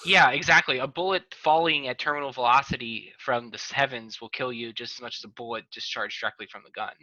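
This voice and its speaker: A man hosting a casual talk show over a video call, wry and deadpan, with quick jokey asides. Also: medium pitch, no room sound. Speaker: host, lawyer. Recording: dull lo-fi call audio.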